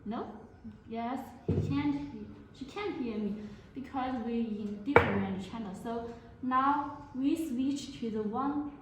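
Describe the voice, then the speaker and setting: A woman giving a product demonstration to camera in halting, non-native English phrasing. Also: fairly high pitch. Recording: very echoey room, faint noise.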